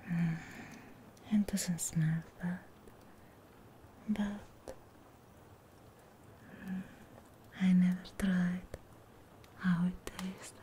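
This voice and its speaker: Very slow whispering young woman, ASMR witch. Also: fairly low pitch, steady tone. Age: young adult. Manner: hushed, breathy whispering, drawn-out phrases. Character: charming, persuasive, eerie witch performing a cleansing ritual. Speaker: ASMR performer in witch roleplay. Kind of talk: ASMR roleplay, soft spoken to whispered. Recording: close-miked whispers with clear background noise.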